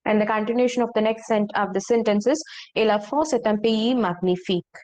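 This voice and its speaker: Lady teaching french, says every line twice, keeps asking if it's clear. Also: medium pitch, a little mellow. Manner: explains slowly, repeats phrases, checks understanding. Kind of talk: online language class.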